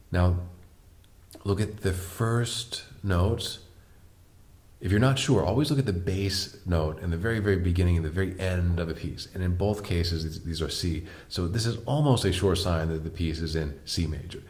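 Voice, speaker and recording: A man with a deep voice teaching a piano lesson, patiently explaining step by step and asking the viewer questions. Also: a little mellow. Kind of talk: online music lesson.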